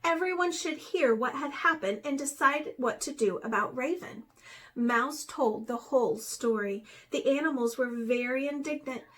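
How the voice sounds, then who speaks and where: High-voiced woman reading a children's story. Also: moderate pace, fairly bright tone. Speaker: teacher reading aloud. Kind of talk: expressive children's storybook read-aloud.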